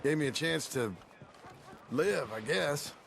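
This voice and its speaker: A man playing a rough, plain-spoken Old West outlaw with an American accent and folksy, terse phrasing in a game. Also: a little high, expressive, slow pace, fairly bright tone, slight background noise, heavily acted. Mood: sombre, reflective. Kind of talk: naturalistic game cutscene dialogue.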